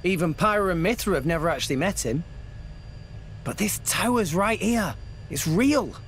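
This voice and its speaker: High, eager teenage boy. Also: lively intonation, moderate pace, a little hiss or hum. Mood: earnest.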